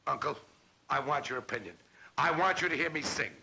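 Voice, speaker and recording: Gravel-voiced sad sack. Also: male voice, fairly high pitch, expressive, brisk, sharp tone, heavily acted. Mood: pleading. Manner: plaintive, self-pitying. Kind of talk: 1960s sitcom acting.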